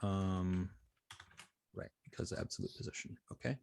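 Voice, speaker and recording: A man teaching informally as an instructor, thinking aloud with halting, repeated and restarted phrases. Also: low voice, steady tone, vocal fry, a little mellow, smooth. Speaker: web design instructor.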